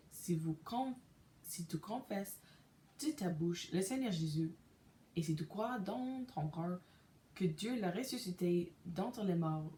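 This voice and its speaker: Slow, careful English-Canadian woman reading. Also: medium pitch, mellow, reverberant, slight background noise. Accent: English-speaking Canadian. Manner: hesitant, pauses with euh, restarts mispronounced words. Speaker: podcaster reading a devotional. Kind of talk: slow careful reading aloud in a second language.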